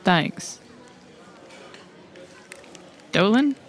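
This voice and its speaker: A woman's voice: medium pitch, lively intonation, slow. A woman playing a candid, polite investigator at a wake in improvised audio roleplay, conversational and apologetic. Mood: grieving, frank.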